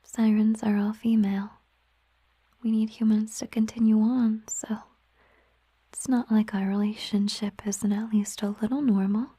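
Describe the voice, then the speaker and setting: A woman plays a gentle siren girlfriend in an intimate ASMR roleplay. Her voice is a breathy, mellow whisper, slow and softly musing. It is recorded close and dry. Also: medium pitch, little pitch movement, no echo. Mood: tender, musing. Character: gentle, affectionate female siren, a mermaid girlfriend. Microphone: close microphone, dry sound.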